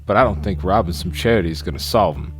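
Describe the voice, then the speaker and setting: A wary, gruff ex-crook, played by a man with a very deep voice. He talks with guarded suspicion and snaps into sudden temper. Faint music sits under the audio-drama scene. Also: animated, fast. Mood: resigned. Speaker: retired crook. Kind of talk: amateur audio drama acting.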